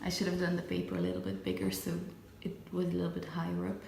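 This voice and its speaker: Raspy young woman vlogging at home. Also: on the low side, sing-song, fast talker, a little mellow, echoey room, a little hiss or hum. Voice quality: slightly raspy from just waking up. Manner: chatty, self-narrating while wrapping gifts. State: hiccups. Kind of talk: casual vlog chat. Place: home kitchen and living room.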